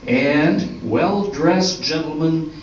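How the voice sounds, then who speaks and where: A man reading a scripted introduction to an audience as announcer of an amateur radio play, with deliberate pauses. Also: a little high, slight background noise. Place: live stage at an amateur arts festival. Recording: very echoey hall, distant muffled microphone.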